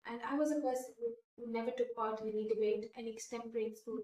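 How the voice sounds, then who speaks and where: Young woman from India, Hindi first language, earnest and halting, fairly high voice, roomy echo, a bit far from the mic. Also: fairly even intonation, warm tone. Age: 20s. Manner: earnest, hesitant with frequent fillers and restarts. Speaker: interviewee. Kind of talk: casual interview answers.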